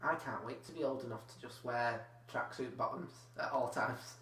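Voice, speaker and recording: A man's voice: medium pitch, moderate pace, fairly bright tone. A young man hosting a casual comedy podcast, rambling and hedging with lots of "like"s. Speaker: podcast host. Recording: roomy echo with faint hum.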